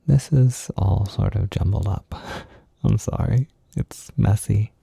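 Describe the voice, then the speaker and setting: A man with a lowish, deeply mellow and dark voice talks as if offering comfort one to one. He is gentle and halting, a little self-deprecating, with a gravelly fry at the edges of his words. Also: no room sound. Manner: gentle, reassuring. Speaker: audio creator giving comfort and validation. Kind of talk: intimate, informal comfort monologue.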